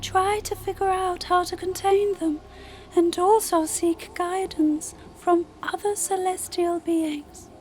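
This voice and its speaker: A woman voicing an ancient, self-righteous celestial sister in an audio drama, with a formal, measured, lecturing manner. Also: very high voice, level tone, darkish tone, slight background noise. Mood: grave, explanatory.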